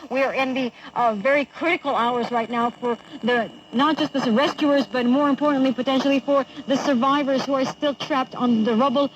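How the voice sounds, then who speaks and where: A woman's voice: on the high side, slight background noise, rough recording. A woman giving a live news report as a field correspondent, outdoors on location. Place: outdoors in a city centre.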